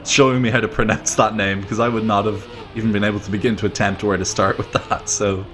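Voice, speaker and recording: Lowish-voiced wry male esports caster. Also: flat delivery, quick pace. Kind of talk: casual joking esports commentary.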